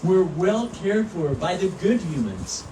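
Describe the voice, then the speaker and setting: A man's voice: high-pitched, very animated, slow pace, heavily acted. A man voicing a proud, kindly, protective grown male lion in a children's storybook, speaking with assurance. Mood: proud, reassuring. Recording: roomy, distant mic, noisy ambience.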